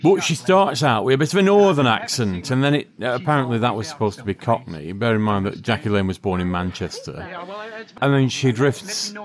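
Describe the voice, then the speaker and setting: A man's voice: mid-range voice, lively intonation, brisk. A man co-hosting a podcast, giving wry, opinionated commentary as an episode plays. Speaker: podcast co-host. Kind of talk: casual commentary while watching.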